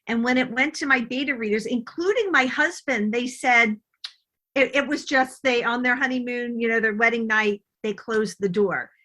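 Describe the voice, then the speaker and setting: Bright, higher-voiced middle-aged woman being interviewed. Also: normal speed. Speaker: podcast guest, novelist. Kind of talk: conversational interview.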